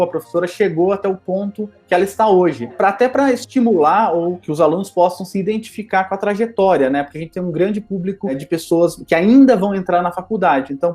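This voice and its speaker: A male podcast host with a notably high voice lays out the reason for his question in a measured, explanatory way. He speaks to an audience of future students before turning to his guest. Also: darkish tone. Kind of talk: interview questions.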